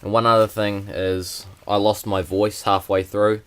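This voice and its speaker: Low, flat-voiced young man. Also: measured pace, smooth. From New Zealand. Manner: plain, hesitant, many fillers. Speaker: vlogger. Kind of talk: casual unboxing vlog.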